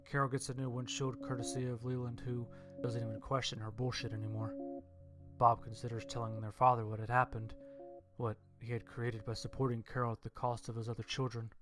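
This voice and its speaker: Even-toned male story narrator over music. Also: mid-range voice, brisk. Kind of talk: scripted horror story narration. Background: instrumental music.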